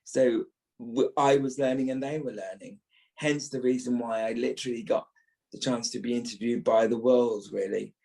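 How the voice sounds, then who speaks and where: British male, early 50s, voice-trained actor, medium pitch, reminiscing podcast guest. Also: steady tone, moderate pace, vocal fry, darkish tone. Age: early fifties.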